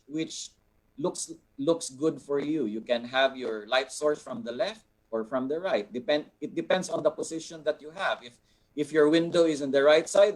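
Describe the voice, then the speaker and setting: A man with a Filipino accent explaining as an art teacher, deliberately repeating and rephrasing his points. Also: mid-range voice, low-quality recording. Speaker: art teacher, resource person. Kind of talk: explanatory lesson, answering a question.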